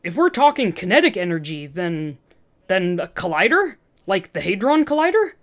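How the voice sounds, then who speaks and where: A woman voicing a quick-witted, curious young male superhero who jokes and stammers a little, in a fan fiction reading. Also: medium pitch, big pitch swings, natural voice. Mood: probing curiosity. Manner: joking, slightly stammering, inquisitive.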